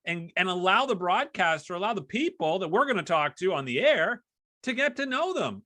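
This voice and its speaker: A man's voice: sits high, bright, forceful tone, no echo. A man hosting a conversational interview podcast, explaining and giving advice. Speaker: podcast host, former broadcaster and athlete branding consultant.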